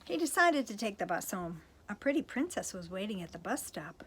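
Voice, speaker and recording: A woman's voice: medium pitch, sing-song, brisk, a bit bright, slightly rough. Playful woman reading a picture book. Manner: playful, chuckling, asides to listeners. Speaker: storytime reader, teacher.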